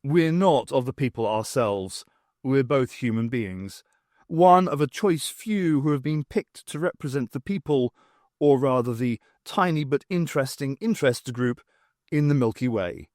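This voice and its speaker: A man's voice: medium pitch, lively intonation, a little slow, darkish tone, no room sound, clean studio sound, lightly acted. A man voicing an earnest male envoy in an audiobook, formal and explanatory in manner. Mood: matter-of-fact.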